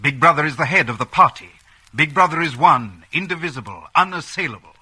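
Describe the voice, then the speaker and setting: A man acting as a grave narrator of a dystopian state, with measured, emphatic declamation in an old radio drama style. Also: a little high, expressive, natural voice. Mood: solemn, ominous. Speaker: announcer and narrator. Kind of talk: solemn mid-century radio drama narration. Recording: hissy old radio broadcast recording.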